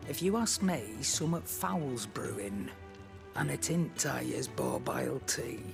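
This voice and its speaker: A woman's voice: very deep voice, expressive, slow pace, vocal fry, full character voice. A woman playing a nosy, salty tavern keeper in a fantasy video game, with a regional English accent and blunt, colloquial talk. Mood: brusque, gossipy. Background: music.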